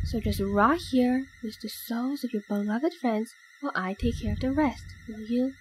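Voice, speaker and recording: A woman's voice: fairly high pitch, moderate pace, noisy background, close to an everyday voice. A woman playing a cold, sadistic villainous child, taunting and mock-sweet, in a dramatic comic dub. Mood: cold accusing.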